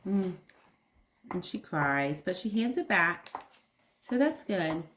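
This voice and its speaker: A woman's voice: lowish voice, animated, slow, fairly bright tone, slight background noise. A woman teacher reading aloud to young children, explaining things simply and asking them questions as she goes. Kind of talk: children's read-aloud lesson.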